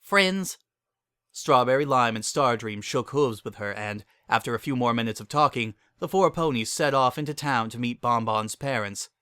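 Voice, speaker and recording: A man's voice: mid-range voice, normal speed, few pauses, a bit bright, clean tone, dry recording, studio quality. A man narrating a dramatic reading of a story.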